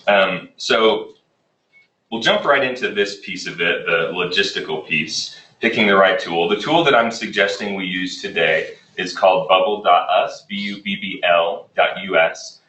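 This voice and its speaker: A man leading a workshop, a little raspy from a cold, talking in a relaxed conversational way with fillers. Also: deep voice, unhurried. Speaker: workshop presenter, instructional design manager. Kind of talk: workshop presentation. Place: classroom or meeting room. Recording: echoey room, voice from far off.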